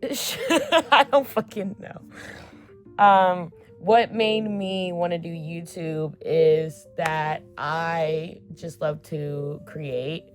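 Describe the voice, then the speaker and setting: A young woman in her twenties talks straight to her camera in a relaxed get-to-know-me vlog. Her voice sits low and she takes her time, chatty and filler-heavy with casual swearing. Faint music plays underneath. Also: extremely slow. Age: 20s. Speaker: vlogger.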